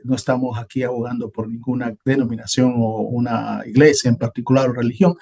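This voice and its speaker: Honduran male co-host, husky voice, relaxed, loose conversational delivery. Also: mid-range voice. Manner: relaxed, thinking aloud with many hesitations. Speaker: podcast co-host. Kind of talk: casual conversational talk about Bible passages.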